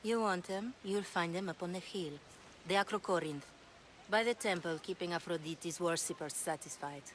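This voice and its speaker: Low, wry, worldly streetwalker, played by a woman. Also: normal speed, background noise, natural voice. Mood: wry, cynical. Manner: teasing, cynical, streetwise. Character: worldly, wry aging female prostitute in ancient Greece. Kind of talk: video game cutscene acting.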